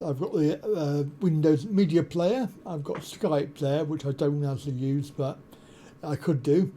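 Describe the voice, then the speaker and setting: A man hosting a tech tutorial, explaining a software setup step by step with frequent pauses and ums on a desktop USB mic at his home computer desk. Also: fairly high pitch. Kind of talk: screen-share software walkthrough. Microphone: desktop USB condenser mic.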